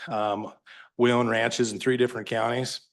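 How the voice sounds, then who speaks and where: Loud plainspoken rancher from Wyoming. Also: male voice, mid-range voice, flat delivery, normal speed. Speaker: public commenter, rancher. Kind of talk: public comment. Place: public meeting room.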